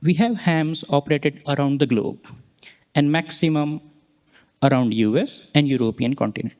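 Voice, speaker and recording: A man presenting scientific results, explaining charts step by step and pausing mid-sentence to point things out. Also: a little high, darkish tone. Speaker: conference presenter, researcher.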